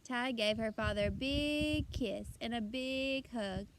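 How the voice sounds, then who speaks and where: A woman's voice: a little high, takes it slowly, slight background noise. A woman reading a children's story aloud expressively and emphatically, like a teacher addressing her young listeners.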